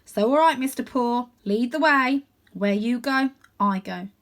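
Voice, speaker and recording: A woman's voice: on the high side, unhurried, fairly bright tone. A woman voicing a streetwise female cat in a children's storybook, blunt, incredulous and teasing. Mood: resolute.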